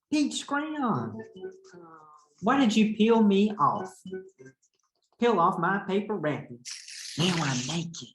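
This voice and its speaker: A man's voice: sits high, voice pushed up, heavily acted. A man voicing an embarrassed, distressed little peach crayon from a picture book, complaining plaintively. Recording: echoey room.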